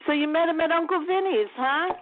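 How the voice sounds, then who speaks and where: A woman's voice: very high-pitched, a little fast, noisy background. A woman with a New Jersey accent calling in to a talk show, friendly and chatty. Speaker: caller. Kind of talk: casual chat. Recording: phone-like call audio.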